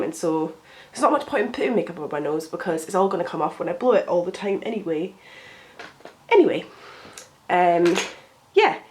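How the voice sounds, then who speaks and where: A woman's voice: fairly low pitch, darkish tone. A young woman with a cold, sounding sniffly, chatting in a rambling way with frequent ums as she does her makeup for a get ready with me vlog at home. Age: young adult. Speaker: beauty vlogger.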